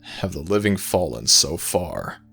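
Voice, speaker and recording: A guarded god of the dead for an audio roleplay. His voice is extremely low and crackles with gravel, with a forceful edge. He speaks in measured, formal phrases, grave and terse. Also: animated, soft background music, heavily acted. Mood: troubled, indignant. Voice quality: deep, gravelly growl.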